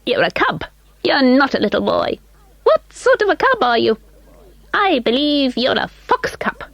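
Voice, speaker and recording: A squeaky, sly little elfin man, put on by a woman reading a storybook, teasing in a high little voice that leaps up and down in pitch. He chuckles and talks quickly. The sound is close and dry. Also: wildly swooping pitch, a bit bright, no room sound, highs cut off, exaggerated voice.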